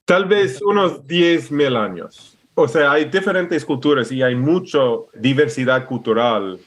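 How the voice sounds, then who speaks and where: A man's voice: fairly high pitch, animated, unhurried. A man from Alaska speaking Spanish with an American English accent, a guest in a casual interview who restarts often and hunts for words. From Alaska, USA. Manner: hesitant, searching for words with many restarts. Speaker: podcast guest.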